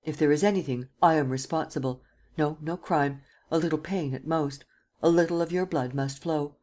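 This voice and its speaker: A woman's voice: low-pitched, a little fast, darkish tone, close to an everyday voice. A woman reader voicing a ruthless, commanding nobleman who speaks with vehemence. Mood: imperious. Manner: vehement, imperious.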